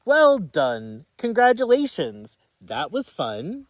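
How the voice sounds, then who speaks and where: A woman doing a gentle, kindly little truck. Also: mid-range voice, wildly swooping pitch, slow, no echo, voice pushed up. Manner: kind, patient, encouraging.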